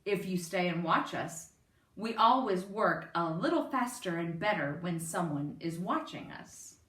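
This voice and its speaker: A woman's voice: fairly low pitch, lively intonation, measured pace, a bit bright. A woman voicing a confident, boastful steam shovel workman in a picture-book reading. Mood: assured. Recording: roomy, distant microphone.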